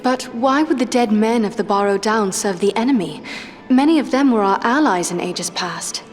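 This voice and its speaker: Actress as dignified elf woman, medium pitch, earnest, formal, composed, fantasy game, faint music behind. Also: moderate pace, natural voice. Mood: questioning. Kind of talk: fantasy game dialogue acting.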